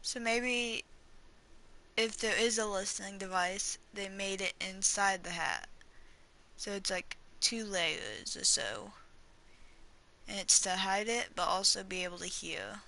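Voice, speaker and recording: Female gamer, bright forward voice, unhurried, rambling casual commentary with hesitant trailing thoughts. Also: mid-range voice, fairly even intonation, vocal fry. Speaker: gamer reacting and theorizing during a playthrough. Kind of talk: casual gameplay commentary, thinking aloud.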